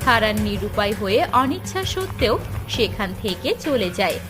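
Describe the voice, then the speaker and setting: A woman's voice: medium pitch, very animated, normal speed. A woman giving scripted narration for a fairy-tale cartoon, with music under her voice.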